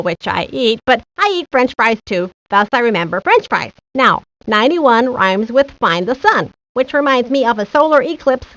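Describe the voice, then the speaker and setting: High-voiced nerdy boy genius. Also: a bit bright, dry recording. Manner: pedantic, precise, wordy. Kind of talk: scripted video game character lines. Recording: dull, lo-fi 1990s game audio.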